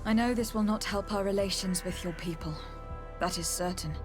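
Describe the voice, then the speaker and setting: A woman voices an earnest, inquisitive envoy in a fantasy game. She is composed and formal, with a mid-range voice. Music runs clearly behind her. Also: mid-range voice, normal speed, close to an everyday voice. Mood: concerned. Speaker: voice actor in a fantasy video game. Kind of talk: game dialogue.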